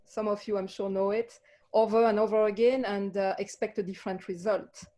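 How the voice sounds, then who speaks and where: A woman's voice: mid-range voice, steady tone, brisk, mellow. A woman with a French accent, a life coach speaking as a guest in a passionate, reflective way with frequent ums. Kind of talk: casual interview chat.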